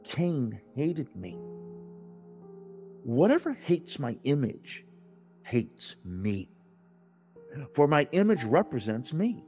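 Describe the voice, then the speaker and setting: A man playing God as a stern, loving, earnest father in a dramatized religious audiobook, speaking emphatically and deliberately with dramatic pauses. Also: medium pitch, very animated, mellow, faint music behind. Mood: grave, warning. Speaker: audiobook narrator.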